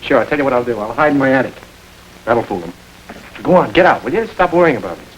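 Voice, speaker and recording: An actor playing a cool, self-assured gang boss in an old crime film, speaking with sardonic calm. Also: male voice, medium pitch, fast talker, lo-fi sound, natural voice. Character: smooth confident gang boss, middle-aged man. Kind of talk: 1930s film acting. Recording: old film soundtrack with faint hiss.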